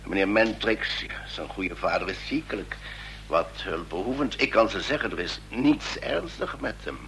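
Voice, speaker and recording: An actor playing an experienced, chatty, slightly weary family doctor of middle age in a Dutch radio drama. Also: male voice, sits low, lively intonation, normal speed, vocal fry, fairly bright tone, heavily acted. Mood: resigned. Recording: noisy, humming old radio recording.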